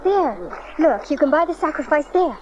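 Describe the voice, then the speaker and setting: A woman's voice: high voice, animated, normal speed, background noise. A woman acting a tender, devout young mother in a dramatized audio Bible. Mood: serene, fond. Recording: dull lo-fi cassette transfer.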